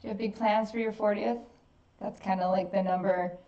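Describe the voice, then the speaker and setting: A woman's voice: medium pitch, steady tone, a little fast. A woman in her late thirties, chatting casually and a little self-deprecatingly while she works on a craft piece. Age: 30s. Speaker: artist working while chatting. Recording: echoey, distant, dull sound.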